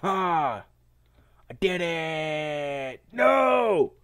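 A man casually commentating a game, congested, laughing often and yelling when he fails. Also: on the high side, very animated, very slow. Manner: laughs often, yells at failures. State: congested, stuffy-sounding. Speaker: gameplay commentator. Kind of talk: casual let's-play commentary.

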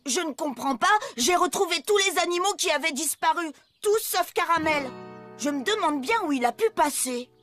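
A woman's voice: extremely high pitch, animated, moderate pace. A woman voicing an eager, boastful young cartoon tractor, childlike, cheerful and bubbly. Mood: determined. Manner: bubbly, exclamatory. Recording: music behind the voice.

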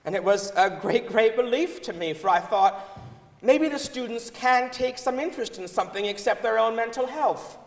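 An amused woman college warden played by a young man recalls her days in office. The voice is high and theatrical, carrying through an echoing hall from a stage. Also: normal speed. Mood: amused, animated. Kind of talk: dramatic reading on stage. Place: large indoor concert hall stage. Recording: reverberant concert hall stage recording.

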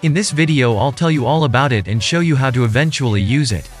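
A man's voice: mid-range voice, quick pace, soft background music. A man from Germany presenting a video as scripted narration.

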